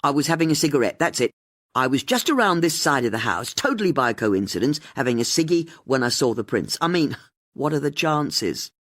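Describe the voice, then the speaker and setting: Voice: medium pitch, sing-song, brisk, a bit bright. Performer as a cheeky, blurting woman sleuth. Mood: flustered bluffing. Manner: blurting, informal, unconvincing when fibbing. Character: cheeky, blunt, proud woman amateur sleuth.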